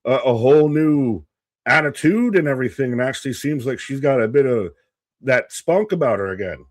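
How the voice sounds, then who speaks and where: A man's voice: mid-range voice, animated, normal speed, no room sound, studio quality. A man co-hosting a casual wrestling watch-along stream, laid-back and joking, often trailing off mid-thought. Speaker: co-host.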